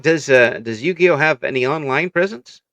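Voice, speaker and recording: A man's voice: fairly high pitch, lively intonation, normal speed, fairly bright tone, no echo. A man hosting a casual interview podcast, chatting in an encouraging way.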